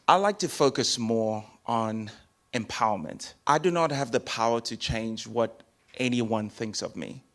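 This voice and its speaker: A man answering on a discussion panel in a relaxed, conversational way, with hedges and fillers. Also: medium pitch. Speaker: city council candidate.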